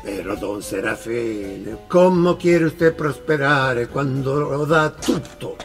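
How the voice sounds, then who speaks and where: An actor playing a worldly-wise Italian grocer in a radio play, with an Italian accent and a sly, good-natured scolding manner. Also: male voice, medium pitch, takes it slowly. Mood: exasperated. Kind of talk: radio drama acting. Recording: music behind the voice.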